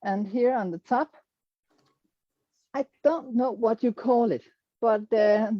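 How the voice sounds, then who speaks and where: Danish woman, slow and hesitant. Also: a little high, dry recording, dull audio. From Denmark. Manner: hesitant, searching for English words, self-deprecating humor. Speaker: quilter presenting her work. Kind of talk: presentation to an online audience.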